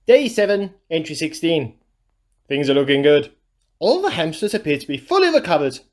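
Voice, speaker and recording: A man's voice: on the high side, sing-song, normal speed. A man playing an eccentric amateur scientist who earnestly records a self-important secret diary in a radio play. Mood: pleased, expectant. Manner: earnest, self-important, musing aloud in dated log entries.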